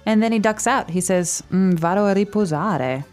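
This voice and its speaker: A woman hosting a language-learning podcast, explaining a dialogue slowly and clearly in a teacherly way. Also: medium pitch, a little mellow, faint music behind.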